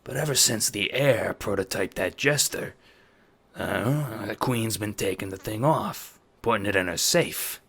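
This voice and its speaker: A man voicing a smooth male mob schemer who speaks slowly and deliberately, making every word hang. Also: on the low side, expressive, a bit bright, heavily acted. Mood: scheming. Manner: slow, measured, hypnotic.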